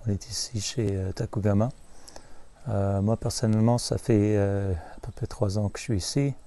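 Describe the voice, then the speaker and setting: A middle-aged man from Montreal talks off the cuff at a wildlife sanctuary. His voice sits fairly low and sounds mellow. He speaks haltingly, full of filler sounds and self-corrections. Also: fairly even intonation. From Canada, Montreal. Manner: hesitant, many filler sounds and restarts. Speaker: sanctuary staff member. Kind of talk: unscripted video presentation. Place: at a chimpanzee sanctuary in West Africa.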